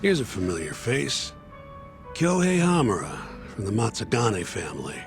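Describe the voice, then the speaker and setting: A man acting as a brisk, pragmatic, unsentimental defense lawyer in a video game, speaking bluntly and colloquially. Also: mid-range voice, huge pitch swings, music in the background. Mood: matter-of-fact. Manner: blunt, colloquial, matter-of-fact. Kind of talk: English game dub dialogue.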